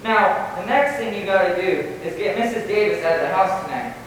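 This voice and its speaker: A man playing an excitable, slangy, cheeky teenage schoolboy in an old-time radio comedy. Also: high-pitched, fairly quick. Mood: excited. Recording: echoey hall from far off, faint hiss.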